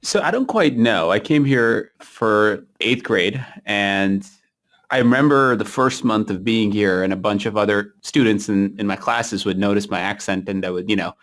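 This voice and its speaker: A man from India, now without an accent, telling stories as a podcast guest in a relaxed, anecdotal way. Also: lowish voice, moderate pace. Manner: relaxed anecdotal storytelling, speeds up in asides. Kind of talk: casual interview chat.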